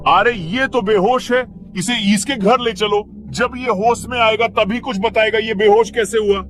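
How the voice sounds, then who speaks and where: A man voicing a brisk, skeptical village man in a cartoon story. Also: high voice, steady tone, a bit bright, slight background noise. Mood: concerned.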